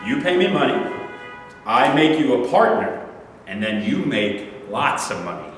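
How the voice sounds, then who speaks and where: An actor reading a smooth-talking real estate dealer of about forty, hesitant and full of fillers. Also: male voice, very animated, unhurried, a bit bright, soft background music. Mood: reassuring. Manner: hesitant, filler-laden salesman pitch. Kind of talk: staged reading. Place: large rehearsal studio. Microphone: far camera. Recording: echoey studio from far off.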